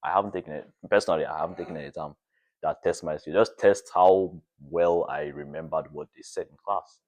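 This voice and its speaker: A man's voice: deep voice, brisk, darkish tone. A young adult man chatting casually as a podcast guest, informal and peppering his speech with "like". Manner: informal, frequent "like" as filler. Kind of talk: casual chat.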